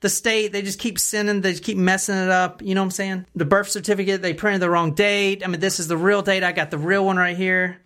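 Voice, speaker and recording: A woman's voice: medium pitch, flat delivery, fairly quick, hardly any pauses, fairly bright tone. Conversational true-crime storyteller, a woman. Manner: explanatory, often checks listeners follow. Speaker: podcast host telling a true-crime story.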